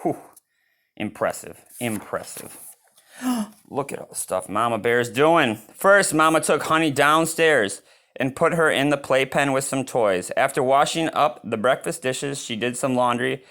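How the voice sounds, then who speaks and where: A man's voice: medium pitch, measured pace. Man reading a children's storybook aloud. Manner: expressive, exclaiming in asides. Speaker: storybook reader. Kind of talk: children's read-aloud with spontaneous asides.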